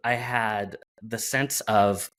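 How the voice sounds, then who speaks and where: Low-voiced reflective man, podcast guest. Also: fairly even intonation, slow pace, a bit bright. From western Canada. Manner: thoughtful, searching, with restarts and occasional laughs. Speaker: podcast guest, design strategist. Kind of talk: reflective conversational interview.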